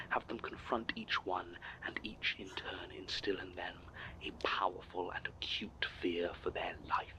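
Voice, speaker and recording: A man playing a gloating, scheming servant of an eldritch power in an audio horror drama, measured, formal and smug in a breathy, creaky half-whisper. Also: low voice, poor audio. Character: gloating, scheming male villain serving an eldritch fear power.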